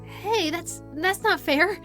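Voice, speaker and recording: Woman acting an eager, high-voiced young friend. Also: slow pace, pushed and bright, faint music behind, full character voice. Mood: protesting. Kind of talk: audio roleplay acting.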